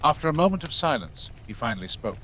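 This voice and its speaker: A man's voice: medium pitch, big pitch swings, fast, a bit bright, poor audio. A man reading scripted narration for an audio story. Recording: clear background ambience noise.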